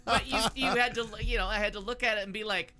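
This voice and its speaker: A man's voice: sits high, fast talker. An American man hosting a casual talk show, asking questions and telling stories in a blunt, joking way. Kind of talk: casual comedic chat and questioning.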